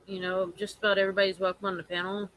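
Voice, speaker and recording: A woman with a hoarse voice from allergies, talking casually and haltingly to her viewers on a home live stream. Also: mid-range voice, little pitch movement, fairly bright tone, poor audio. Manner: halting, repetitive, earnest.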